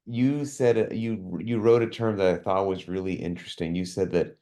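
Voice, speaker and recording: A man's voice: deep voice, steady tone, moderate pace, dark tone. A man hosting an interview podcast, curious and affirming, asking his guest questions in a casual way. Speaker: podcast host.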